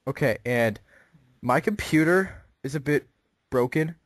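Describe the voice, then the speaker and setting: Male caller, mid-range voice, deadpan naive questioning, dry recording with no room sound. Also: mid-range voice, measured pace, no room sound. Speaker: prank caller posing as a customer. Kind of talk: phone call questioning.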